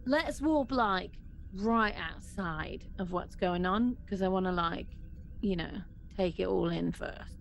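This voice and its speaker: A woman's voice: fairly low pitch, slow, vocal fry, a little hiss or hum. A woman playing a tabletop game, chatting casually and playfully as she improvises. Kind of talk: casual improvised role-play chat.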